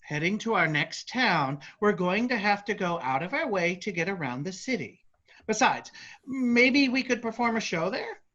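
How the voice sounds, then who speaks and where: A woman's voice: mid-range voice, expressive, moderate pace, a bit bright, lo-fi sound. A woman playing an eager, inventive traveling actor in a staged reading, enthusiastic with some hesitant phrasing. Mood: insistent.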